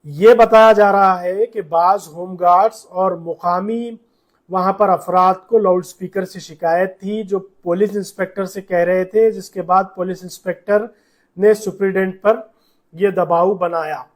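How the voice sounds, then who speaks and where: High-voiced man, flat news narration. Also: a little slow. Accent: Hyderabadi Urdu. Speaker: news reporter.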